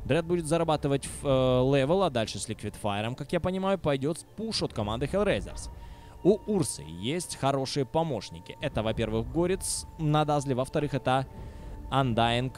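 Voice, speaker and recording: A man's voice: mid-range voice, animated, normal speed, darkish tone, soft background music. A man commentating a video game match live, with casual, sardonic asides to viewers. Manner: wry, sardonic. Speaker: esports commentator.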